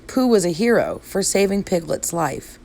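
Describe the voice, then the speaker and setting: A woman narrating a children's story as an expressive read-aloud. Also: on the low side, normal speed, gravelly. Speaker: storybook reader.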